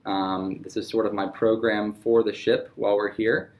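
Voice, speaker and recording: A man's voice: lowish voice, level tone, moderate pace. A young man hosting a casual podcast, introducing guests and asking questions with many fillers and restarts. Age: young adult. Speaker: podcast host.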